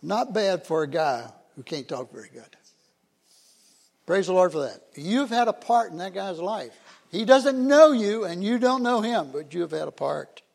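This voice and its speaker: A man's voice: high voice, lively intonation, measured pace, creaky. An older man giving a folksy, conversational church talk about missionaries, with frequent fillers. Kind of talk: informal church talk introducing missionaries on slides.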